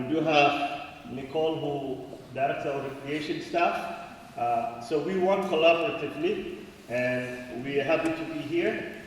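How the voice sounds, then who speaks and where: Earnest halting man in an echoing hall. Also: mid-range voice, a little hiss or hum. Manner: earnest, halting, many fillers. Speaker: park board staff member. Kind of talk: public remarks at a community meeting. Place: large meeting hall. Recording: echoey hall, far from the mic.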